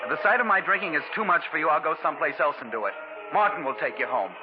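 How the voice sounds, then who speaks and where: A man's voice: a little high, expressive, very fast, close to an everyday voice. An actor playing a young man who drinks too much, pleading and confused in an old 1940s radio drama. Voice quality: slurred, pleading, emotional delivery. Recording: hissy, muffled old radio broadcast.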